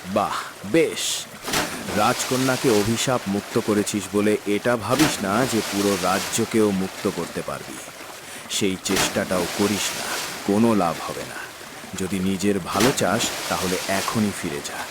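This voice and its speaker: A man's voice: on the low side, a little slow, very noisy, natural voice. A man playing a scornful, threatening male demon in a cartoon, taunting and menacing.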